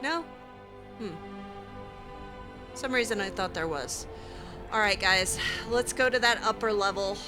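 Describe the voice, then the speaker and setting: A woman's voice: mid-range voice, unhurried, pauses often, music in the background. A woman giving in-character commentary as she plays a video game, with wry, exasperated asides. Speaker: gaming video host playing in character.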